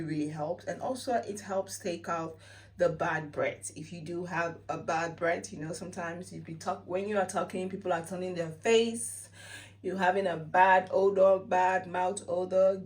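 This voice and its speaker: Woman, Sierra Leonean accent, lowish voice, casual conversational vlog style, slightly distant mic. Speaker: lifestyle vlogger. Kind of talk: casual chatty home-remedy vlog.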